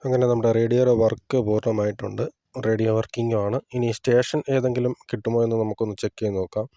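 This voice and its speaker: A man's voice: mid-range voice, little pitch movement, quick pace, dark tone, no echo. A man giving a casual hands-on tutorial, explaining plainly step by step as a hobbyist presenter.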